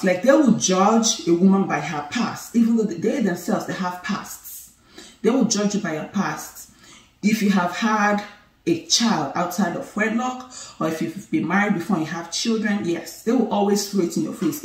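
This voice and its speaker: Laughing Nigerian woman chatting. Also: mid-range voice. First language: Igbo. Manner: animated, laughing, playful and teasing. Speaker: co-host of a couple's channel. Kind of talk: casual chat to camera. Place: living room, indoors. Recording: roomy, somewhat distant sound.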